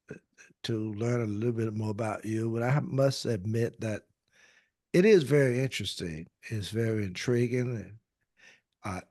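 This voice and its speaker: A man hosting a podcast interview, talking slowly with many fillers and restarts. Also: lowish voice, a little mellow, no room sound, clean studio sound. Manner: slow, hesitant, many fillers. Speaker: podcast host.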